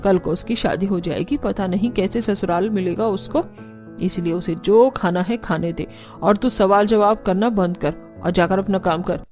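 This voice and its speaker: A woman acts out a bossy older mother-in-law from a family cartoon. Her delivery is scolding and stern, with a mellow tone. Soft music sits behind the voice. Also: mid-range voice, moderate pace, pitched below the performer's own voice, natural voice. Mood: bossy, dismissive. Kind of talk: Hindi moral cartoon story acting.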